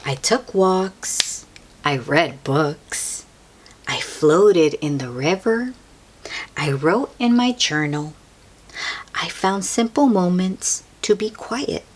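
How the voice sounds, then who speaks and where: A woman reads a picture book aloud to children in a theatrical storyteller's style. Her pitch moves around a lot, and she takes her time, stretching key words for emphasis. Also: lively intonation. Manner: theatrical, deliberate phrasing with drawn-out emphasis.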